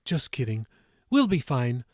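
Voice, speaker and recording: A man voicing a warm, playful older grandmother with an Irish accent in an audiobook story. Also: medium pitch, very animated, unhurried, dry recording, clean studio sound.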